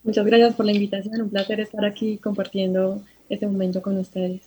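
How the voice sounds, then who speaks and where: A young woman, giving measured, reflective answers as an interview guest. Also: mid-range voice, flat delivery, mellow, slight background noise. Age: young adult.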